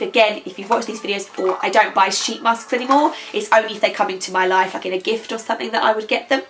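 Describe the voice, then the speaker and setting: Chatty woman with a slightly croaky voice. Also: fairly high pitch, fairly bright tone, rough recording. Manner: chatty, rambling, frequent ums. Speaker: beauty vlogger. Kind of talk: casual product review chat. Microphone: camera.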